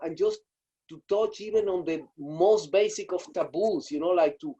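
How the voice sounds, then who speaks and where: A man speaking up on a video call, halting and searching, with constant fillers as he gropes for ideas. His voice is fairly high for a man and mellow in tone. The call audio sounds dull and lo-fi. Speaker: audience participant. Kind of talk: spontaneous reflective remarks.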